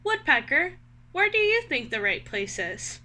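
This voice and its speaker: A woman's voice: high voice, sing-song, a little slow, bright, forceful tone, exaggerated voice. A woman voicing an earnest, puzzled male squirrel in a children's storybook, insistent and questioning.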